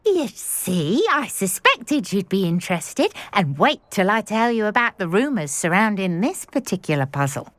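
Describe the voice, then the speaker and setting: A woman's voice: mid-range voice, very animated, normal speed, hardly any pauses, fairly bright tone, no echo. A woman voicing a chatty, genteel older widow in a video game, wordy and formal. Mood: conspiratorial, eager. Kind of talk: video game dialogue acting.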